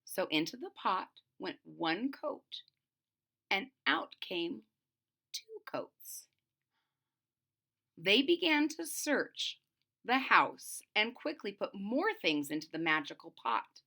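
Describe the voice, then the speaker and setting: Female storyteller for children: slow, deliberate, very animated intonation, explains simply. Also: mid-range voice, creaky. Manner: teacherly, deliberate, emphatic. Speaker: storyteller reading aloud to children. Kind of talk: expressive picture-book read-aloud with simple explanations.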